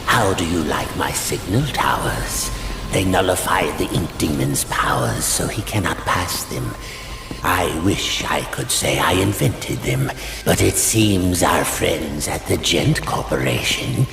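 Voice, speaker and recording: An actor playing a smooth-talking, menacing tyrant of a dark world in a video game, theatrical and falsely courteous. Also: male voice, low-pitched, lively intonation, slow pace, roomy sound, big-room sound, music in the background, full character voice. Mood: suave, condescending. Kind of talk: video game villain monologue.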